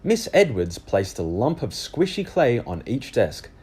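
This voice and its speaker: A man narrates a children's storybook at an unhurried, slow pace. His delivery is extremely animated, swinging widely in pitch around a medium voice. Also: breathy edge. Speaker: children's book reader.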